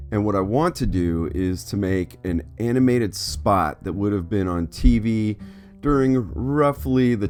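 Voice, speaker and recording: A man's voice: on the low side, a little mellow. A man hosting an art video as an artist, with scripted but conversational narration. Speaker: host, artist.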